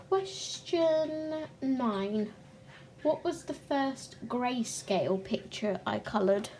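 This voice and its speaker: A young woman with a slightly high voice talks casually to her camera about her hobby, her voice croaky and a little short of breath. She speaks slowly and reflectively, stopping now and then to clear her throat. A faint background hiss runs under her voice. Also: a little mellow. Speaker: colouring channel host.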